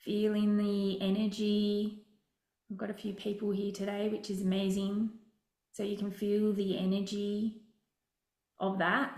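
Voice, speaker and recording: A woman leading a guided relaxation session as a wellness coach, speaking in deliberate, instructive phrases with frequent pauses. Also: medium pitch, completely flat delivery, darkish tone. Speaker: meditation and wellness session leader. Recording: roomy echo with music behind.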